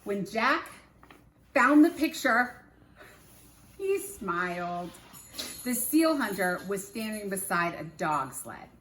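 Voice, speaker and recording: A woman reads a children's story aloud at an unhurried, measured pace. Her delivery is expressive and deliberate, like a teacher telling a tale to young listeners. There is slight noise behind her voice. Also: medium pitch, echoey room. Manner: slow, deliberate phrasing with animated character voices and sound effects.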